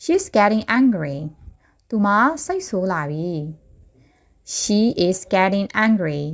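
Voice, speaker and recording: A woman teaching English phrases, repeating each slowly word by word and then giving a translation. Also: mid-range voice, very animated. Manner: slow, deliberate, word-by-word repetition.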